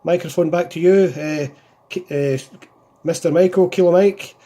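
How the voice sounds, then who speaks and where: A man's voice: on the high side, steady tone, moderate pace, dark tone, lo-fi sound. A man with a Scottish accent chatting casually as an amateur radio operator, repeating himself and using radio call phrases. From Scotland. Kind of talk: casual CB radio contact chat.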